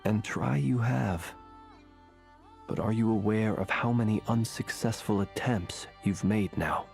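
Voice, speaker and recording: An actor playing a solemn, courteous, centuries-old gentleman in a video game, speaking husky, measured, formal lines. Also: lowish voice, faint music behind. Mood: courteous, calm. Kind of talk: video game character acting.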